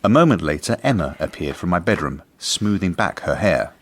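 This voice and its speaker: A wry, earnest English schoolmaster in a radio drama. His pitch swings widely and his voice has a husky edge, while his phrasing stays formal and articulate. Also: very animated, moderate pace. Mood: wry narration. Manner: formal, articulate, dryly indignant. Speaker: lead actor and narrator. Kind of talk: radio drama acting and narration.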